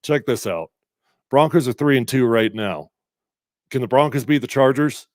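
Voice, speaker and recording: A middle-aged man hosting casual sports talk, a little congested, energetic and emphatic as he reads comments and makes predictions. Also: medium pitch, moderate pace, dry recording, clean studio sound. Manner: energetic, conversational, emphatic with fillers. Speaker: sports radio host previewing a game.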